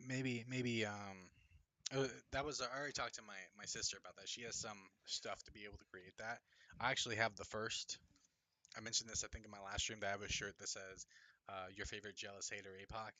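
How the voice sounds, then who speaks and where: Bright-voiced young man, mocking streamer. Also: lowish voice, steady tone, fast talker, no echo. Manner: mocking, laughing often, profane, slangy. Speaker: livestream host. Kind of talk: casual livestream chat reading comments. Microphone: desk microphone.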